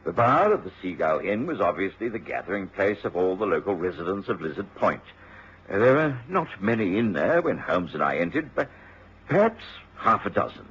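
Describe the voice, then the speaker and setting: A dull, hissy vintage radio recording of a hearty British doctor. His low voice swings expressively as he talks and narrates in old-fashioned radio drama style. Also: very animated, fairly quick, a bit bright, breathy edge, full character voice. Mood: measured narration. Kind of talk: mid-century radio drama acting and narration.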